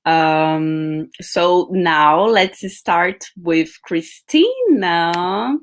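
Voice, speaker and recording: A woman hosting an online panel, introducing guests and asking questions haltingly, often restarting her sentences. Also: lowish voice, animated. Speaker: host, moderator. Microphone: video call.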